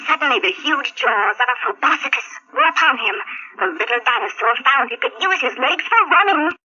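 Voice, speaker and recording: A woman reads a children's storybook in a high, very bright voice. Her scripted narration swings through lively pitch changes. It plays back muffled, like an old 1970s talking toy record. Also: normal speed, husky.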